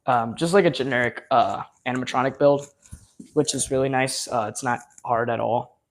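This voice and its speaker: A man chatting loosely through a live unboxing, his voice going hoarse from hours of talk. He rambles along with plenty of ums and uhs in a mid-pitched, everyday tone. His enthusiasm carries through the fillers. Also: normal speed, a little hiss or hum. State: losing his voice. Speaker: live-stream host. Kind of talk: casual live unboxing chat.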